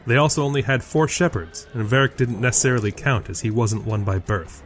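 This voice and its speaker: A man's voice: mid-range voice, brisk, slight background noise. A man reading scripted narration for a fantasy audio drama.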